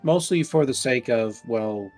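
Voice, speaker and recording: A man's voice: medium pitch, expressive, measured pace, darkish tone, faint music behind. A man running a tabletop game, talking things over casually with his players in an easygoing, reassuring way. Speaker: game master.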